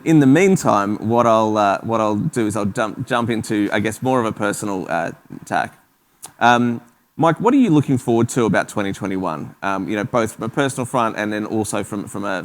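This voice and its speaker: A man interviewing guests and moderating a live question-and-answer session, speaking in a chatty way with frequent false starts. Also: fairly low pitch.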